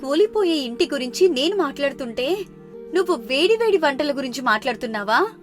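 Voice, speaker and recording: Woman voicing an anxious younger sister, high and urgent. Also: expressive, fairly quick, soft background music. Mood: annoyed. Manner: worried, urgent. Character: anxious younger sister, woman of twenty-five, fearful, pleading. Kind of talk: moral story character dialogue.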